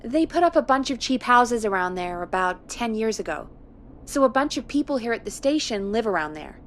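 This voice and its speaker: A small-town radio producer, a woman with a fairly high voice. She is frank and practical, with a dry matter-of-fact delivery in a video game, over faint background noise. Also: sing-song, brisk, fairly bright tone. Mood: earnest, practical. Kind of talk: video game voice acting, conversational.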